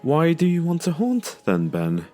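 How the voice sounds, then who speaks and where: A man's voice: on the high side, wildly swooping pitch, a little slow, warm tone, soft background music. An actor playing a courteous, inquisitive family man, speaking politely and tentatively in an audio drama. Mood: curious, probing. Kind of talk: naturalistic audio drama dialogue.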